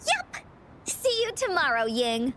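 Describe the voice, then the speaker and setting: A woman voicing a cheerful, imaginative young girl who tells adventure stories in an expressive, childlike way for a video game. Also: high voice, slow, slight background noise, heavily acted. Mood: cheerful, inviting. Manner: childlike, expressive, storybook-style narration. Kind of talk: video game voice acting, storytelling.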